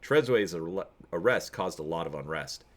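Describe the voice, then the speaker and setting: Man, low pitch, dramatic story narration, expressive reader. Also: moderate pace. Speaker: reader and host of a gamebook read-through. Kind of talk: dramatic reading of an adventure story.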